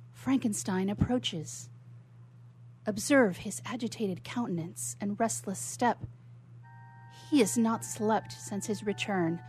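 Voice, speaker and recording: A woman's voice: mid-range voice, darkish tone, slight background noise, natural voice. A woman playing an anxious, sorrowful young bride and devoted sister in a radio melodrama, with formal theatrical diction. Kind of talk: old melodramatic stage play as radio drama.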